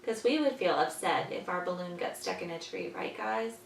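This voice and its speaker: A woman teaching young children, patiently explaining in simple words and pausing after questions, as in a story lesson. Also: lowish voice, animated, creaky. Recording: echoey room, distant mic, faint noise.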